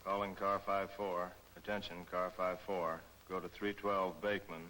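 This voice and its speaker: An actor as a low, monotone police dispatcher. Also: male voice, lightly acted. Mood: flat, official. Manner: deadpan, slow, formulaic. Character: deadpan male police radio dispatcher. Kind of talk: 1940s movie serial radio dispatch. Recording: old film soundtrack with faint hiss.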